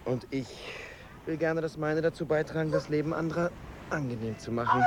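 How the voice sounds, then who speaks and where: An actor playing a smooth-talking, mysterious hotel masseur in a radio drama, suave, deliberate and flirtatious. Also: male voice, fairly high pitch, heavy background noise, natural voice. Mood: musing, gentle. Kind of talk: intimate radio drama acting. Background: music under the voice.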